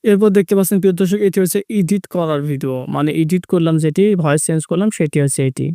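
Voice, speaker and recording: High-pitched, fast-talking man. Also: mellow, dry recording, studio quality. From Bangladesh. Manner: repetitive instructional phrasing. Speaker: tech tutorial host. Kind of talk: step-by-step screen tutorial narration.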